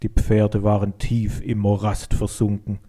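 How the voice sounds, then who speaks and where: A man reading a scripted children's story in an expressive, deliberate storytelling manner. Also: fairly low pitch, slightly rough.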